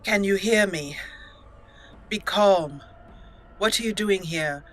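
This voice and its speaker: A woman's voice: medium pitch, slow. A woman hosting a let's play, chatting playfully through her gameplay, laughing often and reading game text aloud. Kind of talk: gameplay commentary.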